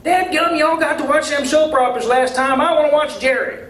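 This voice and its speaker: A man reading aloud as a cranky country TV watcher, very high and loud. The figure hollers demands in rustic slang, heard from off in an echoey room. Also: fairly quick. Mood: demanding, indignant. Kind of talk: live novel reading. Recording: distant roomy recording, faint noise.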